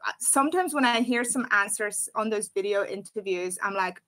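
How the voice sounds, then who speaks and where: A woman from Poland speaks as a guest on an online careers session. Her tone is bright and clear, and she talks in a loose, chatty way. She laughs easily and fills her sentences with "uh" and "you know.". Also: medium pitch, normal speed. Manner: chatty, laughs often, many fillers. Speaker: company guest on a careers webinar. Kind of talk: casual advice on a live webinar.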